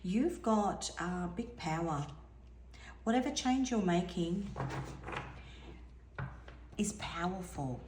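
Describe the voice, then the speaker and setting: A woman giving an intuitive tarot reading in a musing, soft-spoken way, her voice a little hoarse and now and then dropping to a near whisper. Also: fairly low pitch, expressive, slow, pauses often, reverberant, noisy background. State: slightly hoarse, losing her voice. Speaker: tarot reader.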